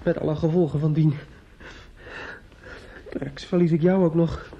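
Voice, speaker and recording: A man's voice: a little high, fairly even intonation, warm tone, a little hiss or hum, lightly acted. Man acting a bitter, menacing nephew, muffled radio play. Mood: agitated, forceful. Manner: halting, repetitive, sneering and insistent. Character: bitter, menacing, grief-stricken nephew taunting his aunt. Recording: muffled, dull old radio recording.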